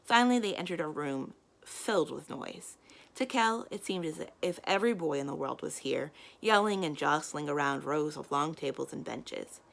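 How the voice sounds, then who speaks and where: A woman reading a children's novel aloud as a storyteller, expressive and giving the characters their own lines. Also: low-pitched, moderate pace, gravelly.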